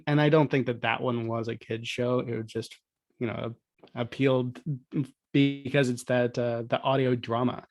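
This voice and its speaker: A man with a mid-range voice talks as a guest on a podcast. His delivery is conversational and a little halting as he works out his thoughts. The sound is very dry. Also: mid-range voice, a little mellow, dry recording. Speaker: podcast guest, audio drama producer. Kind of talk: casual conversation about old-time radio.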